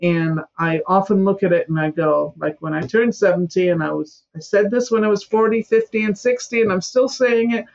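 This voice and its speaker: A woman's voice: medium pitch, brisk, gravelly, few pauses, a little mellow, muffled recording. A woman in her seventies from Scotland, a guest in a podcast interview, speaking emphatically and sometimes mimicking whiny excuses. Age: 70s.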